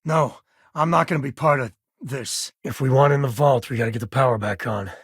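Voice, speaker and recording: Wry American space ranger, very husky gravelly voice, folksy drawl, easygoing, middle-aged, video game dialogue, close dry recording. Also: male voice, medium pitch, a little fast, no room sound. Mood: grave, reproachful. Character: easygoing, wry male space explorer and ex-ranger, loyal father. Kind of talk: video game voice acting, naturalistic dialogue lines.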